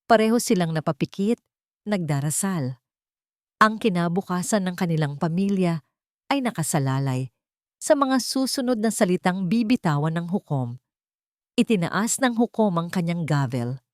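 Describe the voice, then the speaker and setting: Female audiobook narrator, slightly low voice, dry recording, measured dramatic delivery of scripted fiction. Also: lively intonation, no echo.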